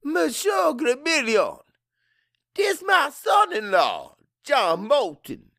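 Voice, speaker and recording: A man voicing a gruff, proud old Cajun father-in-law in an audiobook, loud, terse and folksy. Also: very high voice, huge pitch swings, slow pace, no echo, clean studio sound, voice pushed up, full character voice. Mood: hearty.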